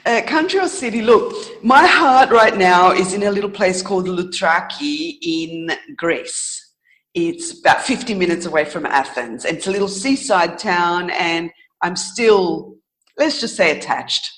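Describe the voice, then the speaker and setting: A woman answering interview questions in a casual, reflective way, earnest and halting, pausing to think and correcting herself. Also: fairly low pitch, expressive, fairly bright tone. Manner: earnest, halting, thoughtful pauses with self-corrections. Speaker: interview guest, author.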